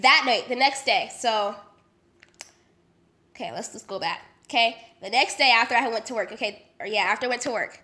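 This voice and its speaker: A young woman from Las Vegas, sick and congested with a nasal voice, telling a story in a chatty, animated way full of slang and fillers. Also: on the high side, normal speed. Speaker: vlogger. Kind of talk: casual storytime vlog.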